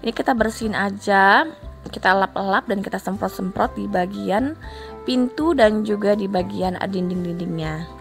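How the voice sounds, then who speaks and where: A woman with a stuffy nose from a cold, her voice nasal and plugged-up, in a middle-pitched tone. She talks chattily to her viewers, stringing her sentences along with soft fillers. Faint music plays underneath. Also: moderate pace, creaky. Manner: chatty, keeps addressing viewers, tags lines with soft fillers. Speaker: homemaker vlogger. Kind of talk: casual vlog voice-over. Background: faint background music.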